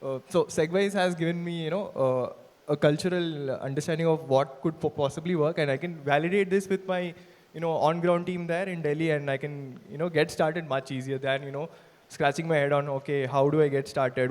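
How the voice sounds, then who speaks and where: A man working as a performance marketer, speaking on stage at an event with many fillers and pauses. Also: a little high, steady tone, darkish tone. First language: not Hindi. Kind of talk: stage talk. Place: large event hall. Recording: reverberant hall PA sound.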